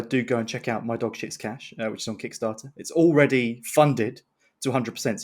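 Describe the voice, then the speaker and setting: A man co-hosting a podcast, talking in a casual, conversational style. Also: medium pitch. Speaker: podcast co-host and writer.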